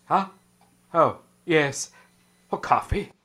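An actor playing an evasive, dryly amused police commissioner in old-time radio drama style, with a hesitant, fussy manner. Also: male voice, on the high side, lively intonation, takes it slowly, heavy background noise. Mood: coy, amused.

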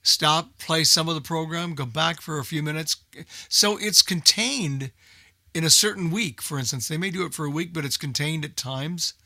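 Older American male for casual talk radio, emphatic and repetitive, forceful bright tone, close dry sound. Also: on the high side, normal speed, no echo. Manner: emphatic, repeats himself for emphasis. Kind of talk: casual group chat.

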